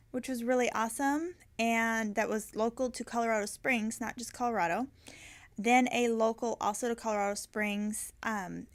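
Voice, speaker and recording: A woman hosting a knitting podcast, chatting casually and rambling, correcting herself often with many ums. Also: fairly high pitch. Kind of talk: casual chat.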